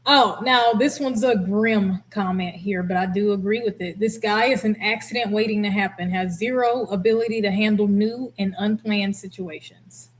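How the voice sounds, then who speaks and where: A woman hosting a casual reaction commentary, reading out comments with a blunt, expressive delivery. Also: mid-range voice, moderate pace, hardly any pauses. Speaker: host, former air traffic controller.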